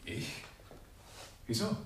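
An actor in a German radio play playing a smooth, calculating murderer who poses as a friendly gentleman. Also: extremely slow. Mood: feigned ease. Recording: roomy sound with clear noise.

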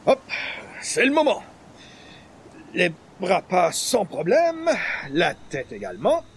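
A man's voice: high-pitched, huge pitch swings, slow, slightly rough, exaggerated voice. An actor playing a pompous, hapless male columnist with florid, formal wording in a radio comedy. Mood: determined, self-satisfied. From Quebec. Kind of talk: 1940s-style radio comedy acting.

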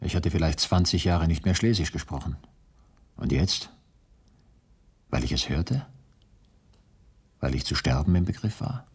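A man's voice: low voice, lively intonation, unhurried, long pauses, breathy edge, full character voice. An actor playing a male camp survivor who recounts his ordeal soberly and precisely in a radio drama monologue. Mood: wondering.

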